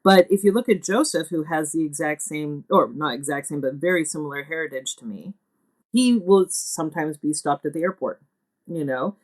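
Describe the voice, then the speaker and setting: A woman's voice: low-pitched, moderate pace, darkish tone, poor audio. A woman interviewed as a theatre artist, reflective and hesitant, working through her thoughts as she speaks. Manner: hesitant, deliberating, self-questioning.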